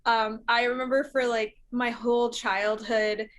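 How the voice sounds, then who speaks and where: A woman's voice: a little high, little pitch movement, measured pace. A young woman from Syria, a musician guest chatting casually, laughing often and using lots of fillers. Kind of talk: casual interview chat.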